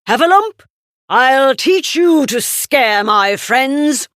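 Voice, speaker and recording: A woman's voice: high-pitched, animated, takes it slowly, a bit bright, dry recording, clean studio sound, pitched above the performer's own voice, full character voice. A woman voicing an earnest, brave, kindly grown man in a children's storybook, speaking emphatically. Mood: bold, combative.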